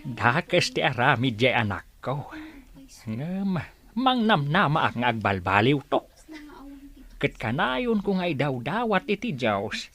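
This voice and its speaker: A man's voice: mid-range voice, sing-song, takes it slowly, fairly bright tone, heavily acted. A man playing an old, fretful, prayerful village father in an Ilocano radio drama, plaintive about his wayward son. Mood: exasperated, pleading.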